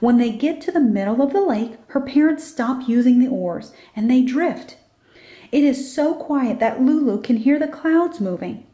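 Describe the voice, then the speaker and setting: Woman, storybook reader, high-pitched, soft mellow tone, children's narration. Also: expressive, normal speed. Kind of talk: children's story read aloud.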